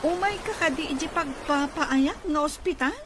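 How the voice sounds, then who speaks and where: A woman's voice: sits high, moderate pace, noisy background, natural voice. An actress playing a familiar, forthright godmother in an Ilocano-accented radio drama. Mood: chatty, persuading. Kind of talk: Filipino radio comedy-drama acting.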